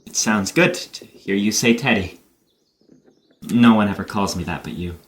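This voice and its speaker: A man acting in an audio play as a playful, boyish young gentleman with an animated, bantering manner. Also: fairly low pitch, normal speed, a little hiss or hum, lightly acted.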